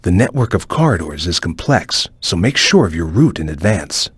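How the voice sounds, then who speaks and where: A man voicing a stern, watchful cartoon ninja guide in a video game, with a measured, formal, cautious way of advising. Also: low voice, big pitch swings, slightly rough, dry recording, clean studio sound, full character voice. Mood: cautious, instructive. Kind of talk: video game voice acting, short hint lines.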